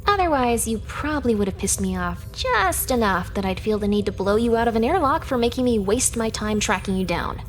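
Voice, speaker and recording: A woman's voice: fairly high pitch, animated, brisk, hardly any pauses, a little hiss or hum, natural voice. An actress playing a sardonic, teasing young female hacker in a sci-fi video game, speaking in a matter-of-fact way. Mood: teasing, dry. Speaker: video game character actor. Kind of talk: scripted video game character dialogue.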